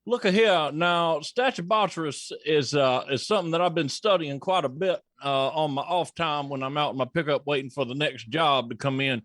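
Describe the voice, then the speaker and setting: Folksy blue-collar contractor played by a man, higher-pitched, halting and stubborn, comic sketch, dry recording. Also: fairly bright tone, dry recording, natural voice. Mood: stubborn, assured. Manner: rambling, halting, homespun. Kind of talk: comic mock news interview.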